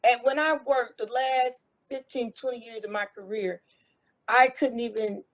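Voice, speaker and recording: A middle-aged woman from America with a slightly high voice, talking through her points in a loose, casual way. She stumbles into repeated words and restarts, then pushes on. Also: fairly even intonation, unhurried, low-quality recording. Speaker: guest, former IT contractor. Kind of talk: casual interview talk.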